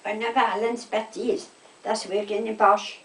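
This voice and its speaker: An older woman with a South Wales Valleys accent, telling gossipy stories in casual, animated chat. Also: mid-range voice, a little slow. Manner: animated anecdotes, quoting conversations, checking with "right?". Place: indoors at home. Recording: echoey room, distant microphone.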